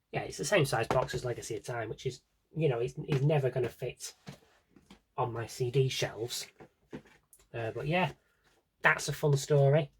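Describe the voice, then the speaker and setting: A man's voice: medium pitch, a little hiss or hum. A man with a British accent chatting casually and wryly through a rambling show-and-tell as a vlogger, with self-deprecating asides. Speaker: vlogger showing a CD collection.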